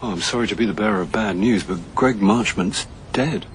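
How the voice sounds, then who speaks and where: An actor playing a wry middle-aged amateur sleuth with an English accent, dry and understated in a radio drama. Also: male voice, fairly low pitch, brisk, a little hiss or hum, close to an everyday voice. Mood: quietly accusing. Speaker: narrator and lead actor. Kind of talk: radio drama dialogue and first-person narration.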